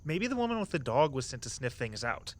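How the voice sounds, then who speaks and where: A man playing an easygoing, loyal young tour guide friend in an audio drama, talking in a casual, chatty, gently earnest way. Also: medium pitch, big pitch swings, brisk. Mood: upbeat, supportive. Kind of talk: naturalistic audio drama dialogue.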